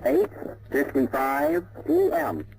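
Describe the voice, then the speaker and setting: A man's voice: a little high, huge pitch swings, takes it slowly, creaky. An American man making a formal time announcement on shortwave radio. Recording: very noisy shortwave radio broadcast.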